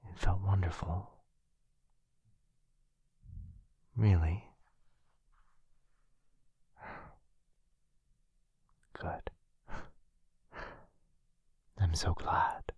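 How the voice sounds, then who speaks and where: A man playing a tender, protective vampire lover in an intimate boyfriend ASMR roleplay, hushed, breathy and soothing, close to the mic. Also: sits low, fairly even intonation, slow, no echo, heavily acted. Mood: tender concern. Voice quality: breathy, husky whisper. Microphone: close, dry microphone.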